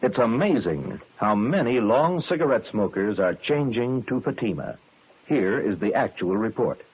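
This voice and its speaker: A man's voice: lowish voice, very animated, normal speed, breathy edge. A man reading a 1950s radio advertisement with a polished, emphatic sales delivery. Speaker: commercial announcer. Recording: muffled, noisy old radio broadcast.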